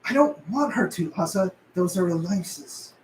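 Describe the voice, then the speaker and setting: Male reader for quiet, reluctant survivor; subdued delivery, faintly noisy room recording. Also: high-pitched, expressive, moderate pace. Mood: hurt, biting. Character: Cass, quiet, reluctant, wounded survivor man. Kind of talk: script table read.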